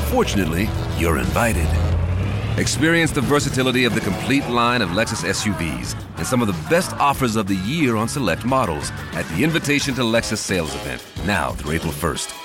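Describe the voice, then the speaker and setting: A man's voice: fairly low pitch, big pitch swings, brisk. A man reading a scripted car commercial voice-over. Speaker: commercial announcer. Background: loud music.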